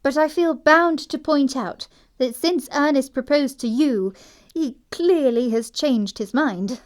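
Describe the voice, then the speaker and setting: A woman acting a sweet but sharp-tongued young English country heiress in an audio play comedy, prim and pointedly polite. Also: high-pitched, slow pace. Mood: primly pointed.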